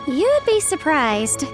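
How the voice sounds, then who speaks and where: A breezy young working woman for a video game. Her voice is high, informal and playfully confident. Music is heard behind her. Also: full character voice. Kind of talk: video game character dialogue.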